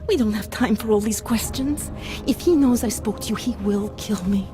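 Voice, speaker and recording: A woman playing a frightened captive in a video game, pleading urgently in a breathy, anxious way. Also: mid-range voice, normal speed, natural voice. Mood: desperate pleading. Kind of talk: video game cutscene acting. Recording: loud music underneath. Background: loud music.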